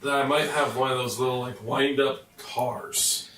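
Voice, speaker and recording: Casting: male game player, mid-range voice, casual halting talk, roomy distant recording. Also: mid-range voice, fairly even intonation, fairly bright tone. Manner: hesitant, thinking aloud. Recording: echoey, distant mic, faint noise.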